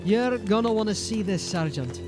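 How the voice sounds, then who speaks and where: A man's voice: high-pitched, lively intonation, normal speed, music in the background. A man acting a hesitant, uneasy watchman in a fantasy audio drama. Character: uneasy male watchman reporting to his sergeant.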